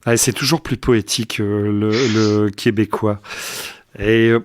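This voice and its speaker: A man's voice: on the low side, fairly even intonation, a little slow, no echo, studio quality. A man hosting a podcast interview, talking casually with many hesitations. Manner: hesitant, many fillers and restarts. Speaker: podcast host.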